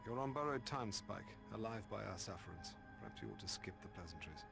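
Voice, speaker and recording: An actor playing a stern, proper older English mentor in a game cutscene, formal and clipped. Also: male voice, lowish voice, lively intonation, fairly quick, creaky, music in the background. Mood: cold. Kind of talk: video game cutscene acting.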